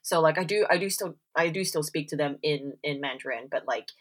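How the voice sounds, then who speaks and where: A woman's voice: low-pitched, brisk. A woman chatting casually on a podcast, full of fillers and "like"s, who acts out the voices she quotes. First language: English. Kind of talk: casual chat.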